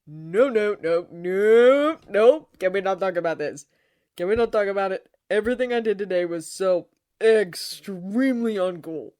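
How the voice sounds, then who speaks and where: A woman's voice: lowish voice, big pitch swings, normal speed, darkish tone. A woman voicing a sheepish, boastful devil king and father with flustered, defensive bravado. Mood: weary, embarrassed.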